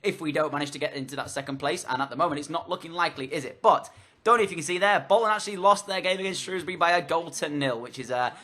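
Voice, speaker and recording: A man narrates his football video game session in rapid, rambling play-by-play, stumbling through fillers and restarts. His voice is hoarse from illness, yet it sits a touch high and sounds bright and tense. It's a relaxed, chatty voice-over spoken straight into a desk mic. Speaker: gaming commentator.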